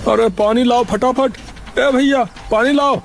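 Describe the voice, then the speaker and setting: A man's voice: very high-pitched, fairly even intonation, a little slow, gravelly, noisy background, heavily acted. A man voicing a caring, bossy uncle in a cartoon story, fussing and repeating "arre" again and again. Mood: worried. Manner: fussy, repeating "arre" over and over. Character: caring middle-aged uncle, worried and bossy. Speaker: uncle of the boy. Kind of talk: cartoon voice acting.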